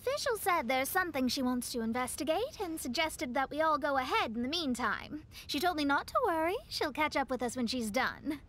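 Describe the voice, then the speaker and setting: Casting: thoughtful young stargazer for a video game, high-pitched, articulate, studious and polite. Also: female voice, animated, normal speed, few pauses, fairly bright tone, a little hiss or hum. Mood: earnest.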